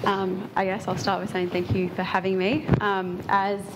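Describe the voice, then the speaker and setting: A woman's voice: medium pitch, level tone, moderate pace, very noisy. A woman telling an audience about her own experience, casual and hesitant with many fillers. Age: 20s. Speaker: invited speaker. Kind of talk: personal account to an audience.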